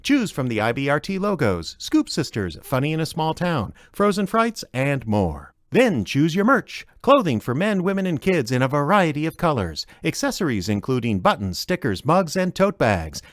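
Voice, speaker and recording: A man's voice: fairly high pitch, very animated, moderate pace, few pauses, no room sound, clean studio sound. A man announcing for a radio theater in a scripted presenter's style. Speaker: announcer and host.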